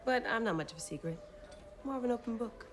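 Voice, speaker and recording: A woman's voice: a little high, expressive, quick pace, close to an everyday voice. A woman playing a candid but guarded 1920s woman, with wry, understated delivery in a TV period drama. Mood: teasing, flirtatious. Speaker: actress in a TV detective series. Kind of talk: naturalistic TV period drama acting. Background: background music.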